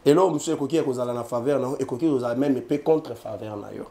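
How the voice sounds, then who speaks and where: A man's voice: mid-range voice, quick pace, dark tone. A man with a Congolese accent giving emphatic political commentary, repeating names and speaking straight to viewers. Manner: emphatic, repetitive, addresses viewers directly. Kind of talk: animated political commentary in French and Lingala.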